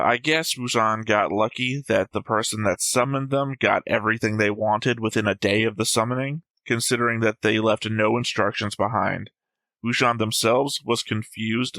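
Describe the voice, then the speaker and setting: A man's voice: fairly low pitch, fairly even intonation, normal speed, fairly bright tone, no room sound. A man co-hosting a reaction show, casually talking through his notes, analytical and jokey. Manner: analytical, jokey, acts out quotes. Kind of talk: casual discussion reading notes.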